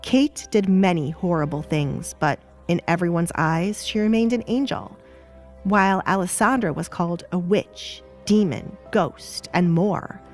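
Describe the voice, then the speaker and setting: A woman's voice: on the low side, a little slow, darkish tone. A woman reading scripted, dramatic story narration. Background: music.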